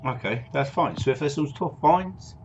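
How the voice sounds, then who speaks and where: A man's voice: fairly high pitch, moderate pace, a little hiss or hum. A man giving casual gameplay commentary, chatting informally and thinking aloud as he goes. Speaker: let's play gamer. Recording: dull lo-fi mic, loud music behind.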